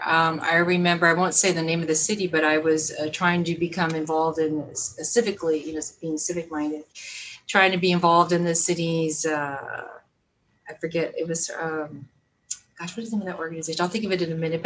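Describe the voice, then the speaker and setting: A woman, telling stories in a casual podcast interview, animated and laughing often. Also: lowish voice, fairly quick, slight background noise, low-quality recording. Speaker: podcast guest, business owner.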